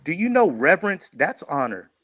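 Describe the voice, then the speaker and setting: A man with a fairly high voice talks in a casual, colloquial way as a panelist in a heated discussion. He is insistent and argumentative, and the sound is close and dry. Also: very animated, moderate pace, a bit bright, dry recording.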